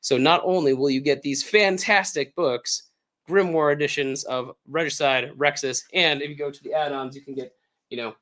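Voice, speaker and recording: A man with an American accent, hoarse from illness, chatting casually and upbeat as the host of a livestream Q&A, with lots of fillers. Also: a little high, steady tone, moderate pace, a bit bright. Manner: rambling, filler-heavy, upbeat. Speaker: author hosting a livestream Q&A.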